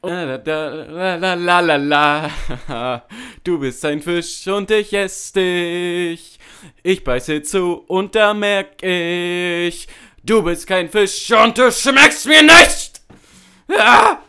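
A man rehearsing lines as an amateur performer, breaking off between loud theatrical takes and casual chat. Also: sits high, drawn-out words, clear, resonant tone.

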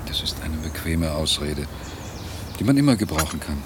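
Troubled male test subject, radio play: low, bitter, brooding, clear background noise. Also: sing-song, moderate pace. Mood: bitter, defensive. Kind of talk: German radio drama acting. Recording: noisy radio play scene.

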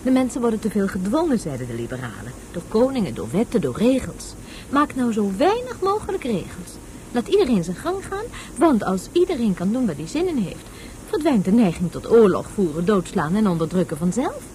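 A woman's voice: mid-range voice, big pitch swings, a little fast, mellow, slight background noise, close to an everyday voice, low-quality recording. An actress playing a patient woman tutor in a radio play, explaining things didactically as if to a child. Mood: instructive. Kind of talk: Dutch radio play acting.

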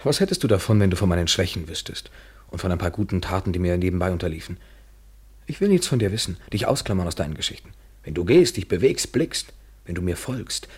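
An actor in a literary German radio play playing a brooding, bitter lover, intense and eloquent, swinging from hushed to vehement. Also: male voice, deep voice, breathy edge, heavily acted. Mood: cold, challenging. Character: brooding, bitter lover, a man wrestling with himself.

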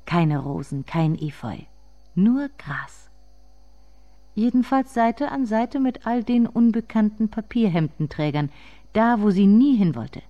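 An actress playing a bitter, grieving grown daughter who addresses herself in a measured, intimate radio monologue. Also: female voice, medium pitch, lively intonation, no echo, dull audio, lightly acted. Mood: bitter, intense.

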